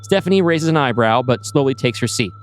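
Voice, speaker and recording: A man's voice: mid-range voice, lively intonation, a little fast, soft background music. A man narrating a scripted screenplay in the present tense with a dramatic storytelling manner.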